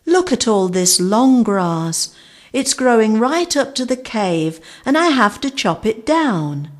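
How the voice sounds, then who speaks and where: A weary cave woman moans about her chores in a tired, raised storybook voice, as a woman reader puts her on. She drags out her words slowly. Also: on the high side, very animated, voice pushed up. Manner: tired, moaning.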